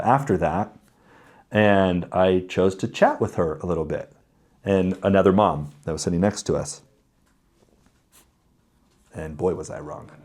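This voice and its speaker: The low, raspy man in his thirties across the table in an interview, talking frankly through lessons from his own life. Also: darkish tone. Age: 30s. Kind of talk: reflective conversational interview answers with anecdotes.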